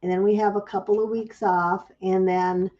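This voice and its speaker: A woman hosting a casual livestream for her craft business, slightly raspy from a cold and greeting viewers by name. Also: medium pitch, flat delivery, moderate pace, dull audio.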